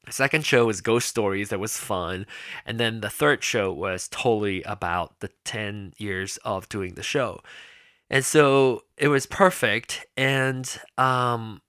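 A man's voice: on the low side, slow pace, sharp tone, clean tone, no echo, clean studio sound. A man hosting his own podcast, talking casually and rambling with many restarts and fillers. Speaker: podcast host. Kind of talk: casual solo podcast talk.

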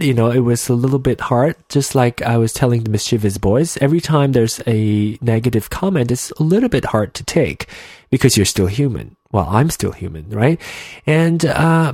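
A man in his thirties hosts a solo podcast, his accent a mix of Malaysian and American English. He talks earnestly and hesitantly at a middle pitch, with many fillers and false starts. The sound is dry and close, with no room echo. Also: darkish tone. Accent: Malaysian English mixed with American. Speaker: podcast host. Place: home room with a heater running.

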